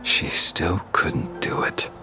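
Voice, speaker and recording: A man playing a grim, menacing, vengeful swordsman in a video game, with cold, patient, deliberate delivery. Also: in a whisper, close to an everyday voice. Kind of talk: dramatic video game voice acting. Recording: background music over game dialogue.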